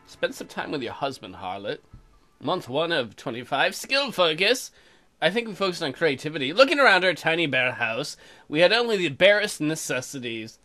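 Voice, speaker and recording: A man's voice: a little high, sing-song, normal speed, bright, forceful tone, faint music behind. A man hosting a let's play, mixing crude, mocking commentary with reading game text aloud.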